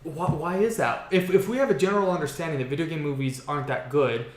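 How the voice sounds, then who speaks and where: Higher-voiced man hosting in an echoey room. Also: quick pace. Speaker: podcast host. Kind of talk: casual gaming podcast discussion. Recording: roomy, echoey podcast room.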